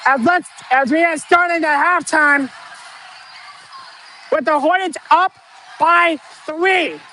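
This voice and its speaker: A man with a strikingly high voice calls a basketball game from inside a gym, shouting the plays in a slow, drawn-out way. His voice sounds strained and worn, close to giving out. Loud gym noise and bouncing balls fill the background. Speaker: amateur play-by-play announcer. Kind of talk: live basketball play-by-play commentary. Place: indoor school gym. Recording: noisy gym with ball bounces.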